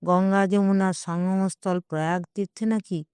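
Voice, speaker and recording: A woman's voice: mid-range voice, level tone, moderate pace, a little mellow, clean tone, no room sound, studio quality. A woman reading a scripted literary story in the first person, with a wry, dramatic manner. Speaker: story narrator.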